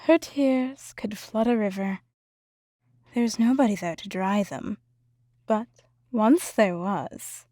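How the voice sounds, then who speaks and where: A woman's voice: medium pitch, slow pace, pauses often, mellow, no room sound, clean studio sound, natural voice. A woman playing a sly, taunting enchantress villain in a fan audio drama, mocking and theatrical.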